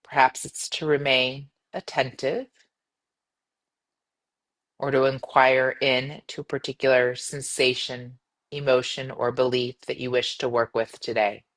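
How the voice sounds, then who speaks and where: A woman leading a guided meditation in a gentle, soothing manner, speaking in measured phrases with long pauses. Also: rumbling low, little pitch movement. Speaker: yoga nidra meditation guide.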